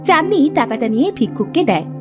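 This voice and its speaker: High-pitched woman narrating a story. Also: very animated, fast, over music.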